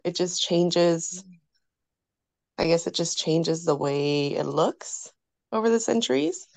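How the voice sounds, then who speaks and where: A woman's voice: lowish voice, slow pace, gravelly, darkish tone, no echo. A woman in a reading group discussion, speaking reflectively and thoughtfully over a video call. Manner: reflective, thoughtful, with frequent fillers. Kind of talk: casual discussion.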